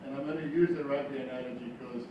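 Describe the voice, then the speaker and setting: A man's voice: mid-range voice, steady tone, fast talker, muffled recording. A South African man giving an informal business speech with wry, self-deprecating humor, repeating phrases for emphasis. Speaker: business founder, guest speaker. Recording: very echoey room, distant mic, noisy.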